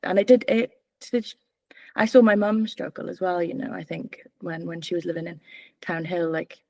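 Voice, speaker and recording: A woman's voice: on the low side, flat delivery, moderate pace, vocal fry, darkish tone, dry recording. A woman in her twenties from Wales, giving candid, hesitant interview answers full of fillers and restarts. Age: 20s. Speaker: political candidate.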